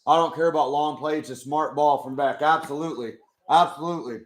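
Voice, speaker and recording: A young man with a hoarse voice, chatting casually with his live chat as he watches a football game. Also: on the high side, brisk, echoey room. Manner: chatty, swears, talks to chat. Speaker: live stream host.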